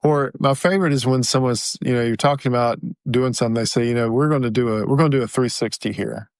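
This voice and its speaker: A man's voice: mid-range voice, steady tone, fast, smooth, no room sound. A man co-hosting a podcast in good-humored, casual conversation. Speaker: podcast co-host. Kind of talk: casual chat.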